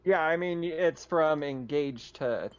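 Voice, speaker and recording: A man's voice: a little high, slow pace, clear, resonant tone. A man running a tabletop roleplaying game as game master, narrating and chatting casually. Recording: slightly dull, lo-fi mic.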